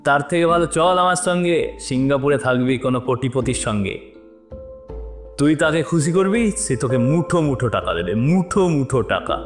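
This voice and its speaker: A man's voice: mid-range voice, animated, a little fast, natural voice. Man acting a sleazy, taunting father over music. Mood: goading, contemptuous. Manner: crude, goading, taunting. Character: sleazy, domineering father pushing his daughter toward a rich man. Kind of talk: audio drama acting.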